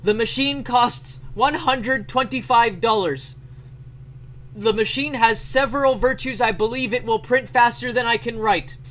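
A man playing an excitable letter writer, yelling out a typed letter in a dramatic, emphatic reading. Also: very high voice, a little slow, pitched above the performer's own voice. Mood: shouting, excited. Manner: shouting, declamatory, emphatic word by word.

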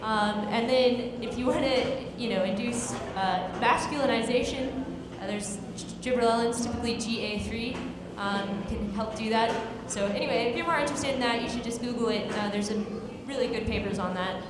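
A woman's voice: a little high, moderate pace, few pauses, very noisy. A woman giving a casual science talk to a live audience at a pub event, explaining step by step with lots of fillers, speaking into a handheld mic. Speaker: guest speaker. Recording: echoey hall, loud crowd chatter.

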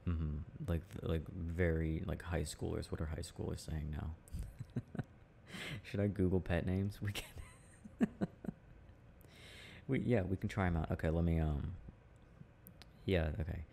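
A young man with a very low, creaky voice speaks in a casual, affectionate boyfriend-roleplay style. His tone is mellow and intimate, chatty and prone to chuckling. There is faint noise behind him. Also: steady tone, unhurried, pauses often. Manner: soft affectionate chat with frequent chuckles. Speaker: boyfriend roleplay audio performer.

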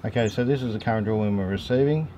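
A man's voice: fairly low pitch, fast. Aussie guy in his 40s explaining a diy job, casual and slangy, bit of outdoor ambience behind him. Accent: Australian. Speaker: host of a how-to four-wheel-driving channel. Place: outdoors in a driveway and around vehicles. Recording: noticeable background ambient noise.

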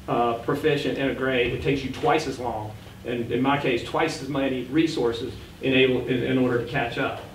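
A man aged sixty giving an informal presentation to a board meeting, speaking haltingly and often correcting himself. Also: mid-range voice. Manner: halting, frequent self-corrections. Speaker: education board member presenting. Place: indoor meeting room. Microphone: standing back from podium gooseneck microphone. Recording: echoey room, distant mic, faint noise.

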